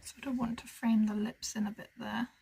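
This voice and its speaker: A soft-spoken woman chatting casually as she colours, musing to herself and often dropping into a whisper. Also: fairly high pitch, little pitch movement, moderate pace, vocal fry, husky, rough recording. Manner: talks to herself as she works. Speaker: colouring channel host. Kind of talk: casual narration while colouring.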